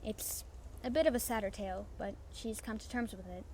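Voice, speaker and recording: A woman playing a brash but warm-hearted female pirate captain in an audio roleplay, confiding and casually salty. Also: medium pitch, brisk, close to an everyday voice. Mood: serious, confiding. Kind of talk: audio roleplay acting, chatting to the listener. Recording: faint background noise and ambience.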